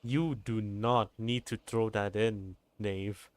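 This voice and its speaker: A man's voice: on the low side, fairly even intonation, takes it slowly. A man live-streaming a game with casual, bantering commentary and free swearing. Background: game music.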